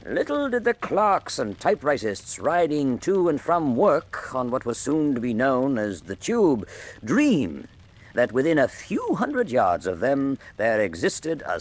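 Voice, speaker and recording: An actor playing the narrator of a Sherlock Holmes style detective mystery, measured and theatrical in his storytelling. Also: medium pitch. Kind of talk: dramatic scripted narration.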